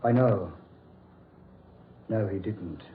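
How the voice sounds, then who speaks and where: An actor playing an obsessed, desperate mad doctor in a theatrical, raving 1940s radio horror style. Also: male voice, lowish voice, extremely slow. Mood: disbelieving. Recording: muffled old radio recording, echoey.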